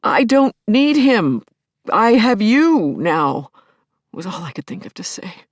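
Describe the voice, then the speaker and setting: A middle-aged widow tells her story in an intimate audio-play monologue. She is tender and wry, with a medium-pitched voice that drops into hushed breaths, recorded close and dry. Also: sing-song, slow, dry recording. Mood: shaken. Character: grieving widowed scientist, wistful, wry, tender, anxious. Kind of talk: intimate dramatic first-person monologue.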